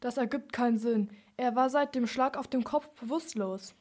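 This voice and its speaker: A woman's voice: a little high, moderate pace, darkish tone, natural voice. A young female amateur playing a concerned, puzzled hospital doctor in a radio crime play.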